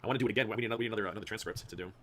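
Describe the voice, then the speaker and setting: A man's voice: fairly low pitch, rapid-fire, a bit bright. A man chatting as a guest on a livestream, snarky and sarcastic. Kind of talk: casual livestream chat.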